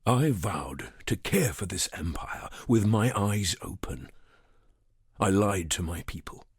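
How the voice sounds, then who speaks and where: A fallen, grief-stricken emperor for a video game. His deep, whispery voice creeps along in slow, mournful phrases. It sounds dry and intimate. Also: lively intonation, no echo, full character voice. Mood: despairing remorse. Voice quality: breathy, whispery. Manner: hushed, mournful, halting. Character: broken, remorseful fallen emperor of a dying legion. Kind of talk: scripted video game monologue.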